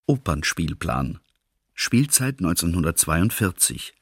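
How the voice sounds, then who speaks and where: An actor playing a stiff official announcer who formally lists a wartime opera programme in a radio play. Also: male voice, deep voice, big pitch swings, slow pace, slightly rough, dry recording, studio quality, heavily acted. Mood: cold, formal. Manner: clipped, formal listing.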